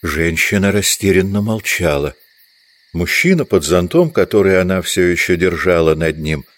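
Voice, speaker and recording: A male narrator reads literary prose for an audio drama. His voice is very low and deep. The delivery is scripted storytelling.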